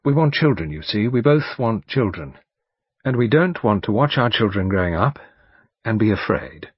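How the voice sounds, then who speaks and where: A man's voice: fairly low pitch, sing-song, normal speed, slightly rough, no echo. A man reading an audiobook, voicing an earnest, determined young woman who speaks insistently. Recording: dull lo-fi recording.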